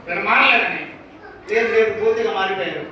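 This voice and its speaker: A man's voice: high-pitched, fast talker, far from the mic. A man presenting a children's church puppet show, speaking as a patient, correcting teacher and ventriloquist. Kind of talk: live children's puppet show, playful preaching. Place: large church hall with audience. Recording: echoey hall from afar, loud crowd noise.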